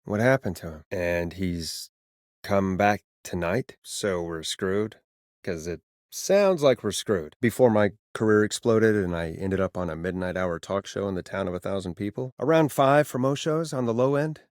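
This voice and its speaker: A man's voice: low voice, lively intonation, moderate pace, no room sound, studio quality. A man voicing a weary, sarcastic late-night radio call-in host in a video game, with a wry, conversational, easily exasperated manner. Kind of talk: video game voice acting, radio patter and banter.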